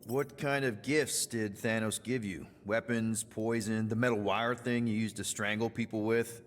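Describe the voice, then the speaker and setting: An actor playing an easygoing, awkwardly earnest young space crew leader in a cinematic video game, talking casually and haltingly. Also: male voice, lowish voice, steady tone, long reverb tail, lightly acted. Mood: probing, sympathetic.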